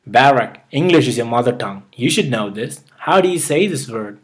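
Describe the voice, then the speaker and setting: A man with a mild Indian accent voicing a frustrated Indian man, talking quickly and pleading for help in a short acted skit. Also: mid-range voice, expressive, natural voice.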